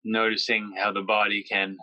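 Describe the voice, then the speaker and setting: A man with a clear-cut, bright tone guides a body-relaxation meditation. He speaks gently in short phrases with long pauses, keeping his pitch very flat. The recording is dry and slightly dull, with little sense of a room. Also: dry recording. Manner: slow, gentle, pausing between phrases. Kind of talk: guided yoga nidra meditation.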